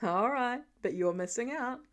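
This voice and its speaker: A woman's voice: a little high, expressive, moderate pace, lightly acted. A woman voicing an easygoing, tender husband and father with folksy banter, as audiobook dialogue. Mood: warm, easygoing.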